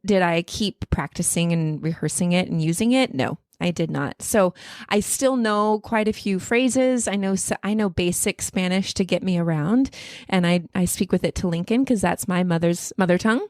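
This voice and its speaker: A woman's voice: lowish voice, moderate pace, hardly any pauses, darkish tone, dry recording. A woman from the United States in her thirties, co-hosting a casual podcast with bubbly, giggly, playful teasing. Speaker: podcast co-host.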